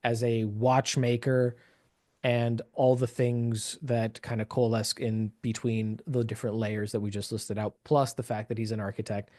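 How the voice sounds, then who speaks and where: A man's voice: mid-range voice, level tone, normal speed, mellow, dry recording, studio quality. A man hosting a podcast interview, upbeat and effusive, thanking and praising his guest freely. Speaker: podcast host. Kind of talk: casual interview chat. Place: local area of Seattle.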